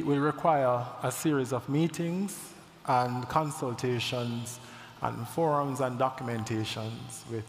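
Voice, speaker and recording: A man presenting at a formal event, speaking deliberately as he introduces speakers. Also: mid-range voice, a little hiss or hum. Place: large hall. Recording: echoey hall, heard from a distance.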